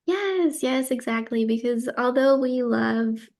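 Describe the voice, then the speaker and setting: A woman's voice: fairly high pitch, slow, a little mellow. A woman dietitian who grew up in Texas, explaining her work in an enthusiastic, casual interview manner with frequent fillers. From Texas, born in Colombia. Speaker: dietitian, podcast guest.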